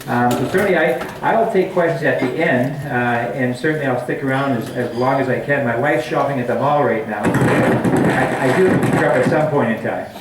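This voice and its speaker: A man's voice: mid-range voice, moderate pace, few pauses, heavy background noise. A middle-aged man from Canada, a family physician giving a chatty public talk with many restarts and fillers at a community forum. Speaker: guest speaker, family physician. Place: community hall meeting room. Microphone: handheld mic, far camera. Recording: echoey hall from far off.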